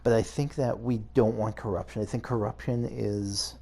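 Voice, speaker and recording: A man's voice: lowish voice, steady tone, normal speed, creaky, mellow, slightly rough. A man giving casual video game playthrough commentary, hesitantly thinking aloud as he goes. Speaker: gaming video host.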